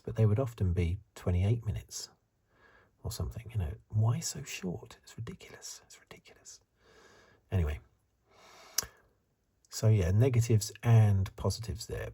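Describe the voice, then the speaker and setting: Soft, breathy, low-voiced ASMR man. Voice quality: soft, breathy, husky, partly whispered. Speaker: host of an ASMR review channel. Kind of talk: soft-spoken ASMR commentary with whispering.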